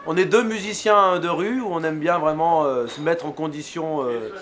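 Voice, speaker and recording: A man's voice: on the high side, normal speed, a bit bright. A man street musician speaking in an easygoing way, with many fillers, in a festival interview.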